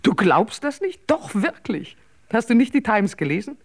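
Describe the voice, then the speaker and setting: Wry older stage diva, low-voiced. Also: female voice, sing-song, normal speed. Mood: breezy, self-mocking. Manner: wry, theatrical, talkative. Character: chatty, self-mocking ageing stage actress. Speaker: actress. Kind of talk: radio drama dialogue.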